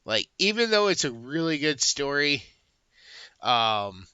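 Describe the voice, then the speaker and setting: A man's voice: medium pitch, very animated, slow pace, bright, forceful tone, smooth, dry recording, highs cut off. A young adult man giving a casual, rambling book review, full of fillers and restarts.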